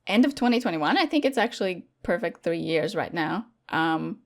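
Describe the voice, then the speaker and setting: Chatty young woman, lowish voice. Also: normal speed. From Latvia. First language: Latvian. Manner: chatty and animated, many fillers and restarts. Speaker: guest content creator. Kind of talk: casual interview chat.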